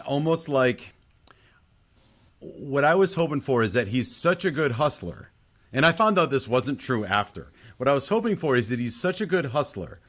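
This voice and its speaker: A man with a mid-pitched voice hosts a casual film discussion. He speaks in a conversational, unscripted podcast style. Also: a bit bright.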